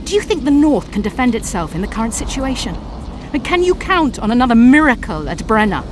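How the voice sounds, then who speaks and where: A woman's voice: a little high, very animated, a little fast. A woman playing a bitter, menacing sorceress in a video game, with an imperious, disdainful, theatrical delivery. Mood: bitter, insistent. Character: haughty scheming sorceress, bitter, menacing and contemptuous. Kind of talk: dramatic video game acting. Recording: loud music under the voice.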